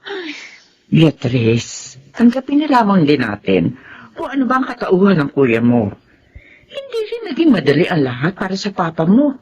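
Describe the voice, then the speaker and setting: Motherly, very animated Filipino woman. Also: on the low side, moderate pace, dull audio. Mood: gently reproving. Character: motherly older household woman, accepting and cautious. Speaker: radio drama actor. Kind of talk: Filipino radio drama acting.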